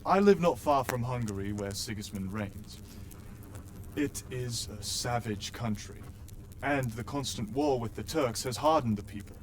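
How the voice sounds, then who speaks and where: An actor as an earnest young nobleman in a medieval game, respectful and explanatory. His voice is mid-pitched, with a faint rumble behind it. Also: lively intonation, measured pace, natural voice. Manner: polite, explanatory. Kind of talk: video game cutscene acting.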